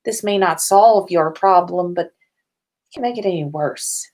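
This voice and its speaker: A woman voicing a dry, practical school healer in a story reading, matter-of-fact and measured. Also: on the low side, a little mellow.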